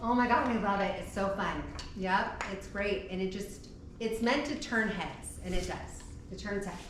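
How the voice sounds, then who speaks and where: Female, casual and gushing, mid-range voice, distant mic with clear noise. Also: mid-range voice, moderate pace. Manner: informal, gushing, often says "like". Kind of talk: casual chat. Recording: echoey, distant mic, noisy background.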